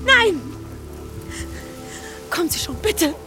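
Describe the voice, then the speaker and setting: High-voiced, fiery police detective. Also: female voice, sing-song, slow pace, sharp tone, soft background music, heavily acted. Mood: desperate pleading. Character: tough, hot-tempered female police detective. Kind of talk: radio crime drama acting.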